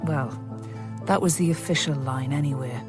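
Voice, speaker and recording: A woman's voice: deep voice, moderate pace, music in the background, close to an everyday voice. An actress playing a troubled mother who recounts an epidemic in a sober, reflective radio drama style. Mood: wry, uneasy. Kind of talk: radio drama narration and dialogue.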